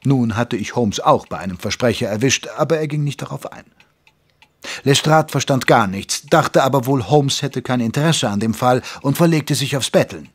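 Audio drama narrator: wry gentleman doctor, medium pitch, measured and literary. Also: expressive, breathy edge. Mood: dryly amused. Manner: measured, literary, dryly amused. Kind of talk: scripted first-person narration in German audio drama.

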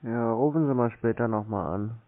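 A man talks casually on the phone in a drowsy, laconic, deadpan way. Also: medium pitch, moderate pace, dry recording. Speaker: scambaiter taking cold calls. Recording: muffled narrowband phone line.

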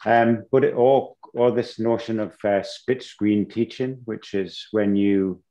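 A middle-aged man speaking as a panellist teacher in an online panel, thoughtful and halting, often restarting his sentences, talking through a headset. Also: fairly low pitch, fairly even intonation, warm tone. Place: home room with plants. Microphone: headset on video call. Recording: dull lo-fi video call audio.

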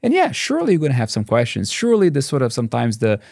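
A man in his forties with a Brazilian accent speaks quickly and eagerly, often restarting and repeating words. His voice has a gravelly rasp. The studio sound is dry and close. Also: medium pitch, no room sound. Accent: Brazilian Portuguese. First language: Portuguese. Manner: fast, animated, many restarts and repeated words. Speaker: statistics professor, guest. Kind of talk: casual interview conversation. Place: dark podcast studio. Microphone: studio mic on boom arm, headphones.

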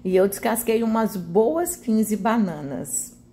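A woman with a Brazilian accent narrates a home cooking video. She talks casually and in a homely, chatty manner, at a middling pitch. Her sentences often end in small tag questions, as if checking that viewers follow. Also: animated, takes it slowly, warm tone, slight background noise. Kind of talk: casual recipe voice-over.

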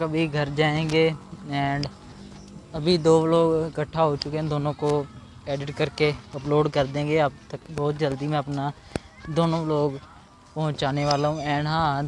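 A man's voice: a little high, slight background noise. A man, chatting casually and chummily to viewers as he vlogs outdoors on a handheld camera. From Punjab, India. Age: 20s. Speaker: vlogger. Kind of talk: casual vlog chat to camera. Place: outdoors in an open school ground and park. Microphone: handheld camera at arm's length.

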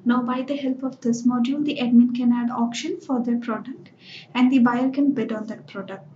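A woman narrating a screen-recorded software tutorial, explaining each step plainly with lots of "so" and "like". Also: fairly high pitch, steady tone, normal speed, slight background noise. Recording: dull, roomy screencast voice-over.

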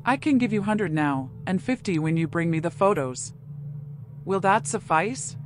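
A woman's voice: on the low side, lively intonation, moderate pace, natural voice. Nervous lady client in a noir game done by an actress, subdued and careful, music under it. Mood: guarded. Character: anxious, reserved female animal client of a detective. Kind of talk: noir video game voice acting.

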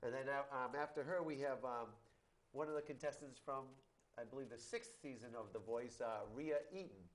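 A middle-aged man hosting a local talk show, voice raspy from a sinus cold, rambling loosely with many fillers. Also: mid-range voice, moderate pace. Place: studio set against an outdoor backdrop. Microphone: lapel mic.

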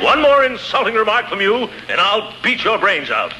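Pompous blustering neighbor, wildly swooping voice. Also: male voice, sits high, very animated, normal speed, bright, forceful tone, heavily acted. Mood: indignant blustering. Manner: indignant, pompous, with frequent chuckles. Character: blustering middle-aged male neighbor, pompous but childishly touchy. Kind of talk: 1940s radio comedy acting. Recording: noisy vintage 1940s radio recording.

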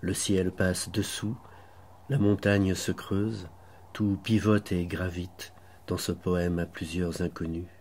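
Guy reading poems real slow, soft mellow tone, kinda husky, lots of pauses between short lines. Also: sits low, level tone. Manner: measured, contemplative, with deliberate pauses between short phrases. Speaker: poet reading own poems. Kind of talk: slow literary poetry recitation.

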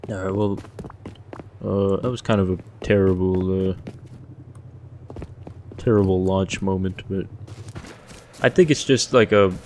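A young man with a deep voice giving casual, joking commentary while playing a survival game, swearing often as he thinks aloud. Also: steady tone, unhurried, pauses often, a little mellow, a little hiss or hum.

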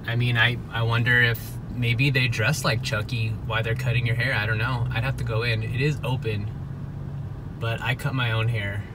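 A man's voice: mid-range voice, flat delivery, normal speed, noisy background. An older man vlogging outdoors as he walks a city street, talking in a chatty, musing way and often breaking off to rethink his words. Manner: chatty, musing, self-interrupting. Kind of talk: casual walking vlog.

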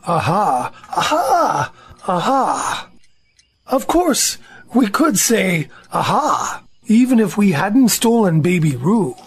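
A woman playing a slow-witted, good-natured toy bear in a children's storybook audio drama, naive and forgetful. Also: medium pitch, sing-song, husky, heavily acted. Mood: musing.

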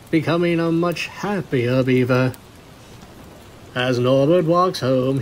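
A man's voice: a little high, a little mellow, a little hiss or hum, rough recording. A man reading scripted story narration as the narrator of a fan fiction tale.

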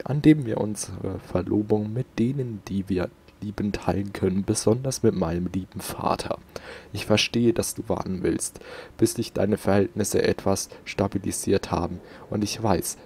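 A man's voice: fairly low pitch, creaky, darkish tone, a little hiss or hum. A man doing casual let's play commentary and reading in-game notes aloud, close to the microphone.

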